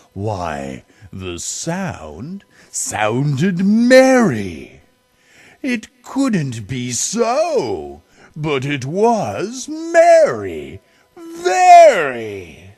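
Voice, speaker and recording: A man reads a children's story in a theatrical way, drawing out his phrases. His fairly low voice swings widely in pitch and swells for dramatic effect. The delivery is extremely slow. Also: a little mellow, dry recording. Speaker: storybook reader.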